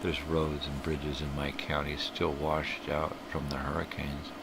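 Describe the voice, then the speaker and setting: A man's voice: rumbling low, completely flat delivery, measured pace. An older man hosting a live stream, rambling through casual, profane tangents while sniffly from a cold. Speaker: livestream host. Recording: clear background hum.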